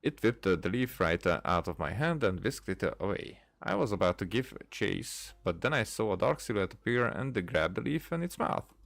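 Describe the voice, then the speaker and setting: Low-voiced man commentating a game playthrough. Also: big pitch swings, moderate pace, creaky, hardly any pauses, a bit bright, dry recording. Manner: hesitant, stumbling when reading aloud. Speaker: gaming video host. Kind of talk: casual game playthrough commentary, reading dialogue aloud.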